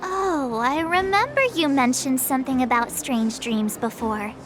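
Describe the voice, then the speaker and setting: An actress playing a perky, upbeat young woman adventurer in a video game, chatty and expressive. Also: sits high, measured pace, music in the background. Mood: thoughtful, chatty. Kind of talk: animated video game dialogue.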